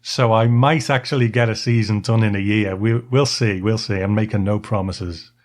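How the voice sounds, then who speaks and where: A man's voice: lowish voice, steady tone, moderate pace. A man hosting a podcast, moving between prepared remarks and easy conversation with a guest. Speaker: podcast host. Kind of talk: podcast talk, partly prepared monologue and partly chat.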